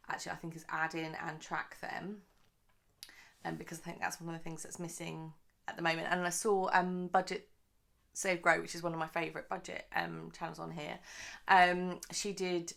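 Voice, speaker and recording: Chatty, slightly croaky woman vlogger. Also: lowish voice, fairly bright tone. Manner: chatty, rambling, many fillers. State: a little croaky from early morning. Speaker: budgeting channel host. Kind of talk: casual chatty monthly budget vlog.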